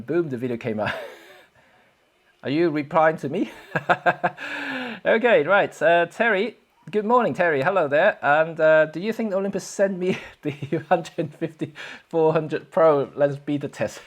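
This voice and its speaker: Chatty man. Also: mid-range voice. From Hong Kong. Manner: chatty, halting with many fillers and restarts, chuckles often. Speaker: photography livestream host. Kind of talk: casual livestream chat reading viewer comments.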